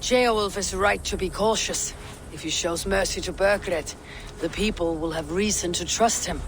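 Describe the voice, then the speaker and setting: An actor playing a bold, level-headed Viking warrior in a game, with stately, poetic delivery. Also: male voice, high-pitched, moderate pace, fairly bright tone, a little hiss or hum, rough recording. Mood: confident, bold.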